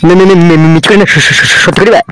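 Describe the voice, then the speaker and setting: A man's voice: sits high, fast, a bit bright, no echo, rough recording. A man voicing a blustering, easily scared local gangster boss in a comedy cartoon. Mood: alarmed, tense. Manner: stammers when alarmed. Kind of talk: amateur comedy cartoon dialogue.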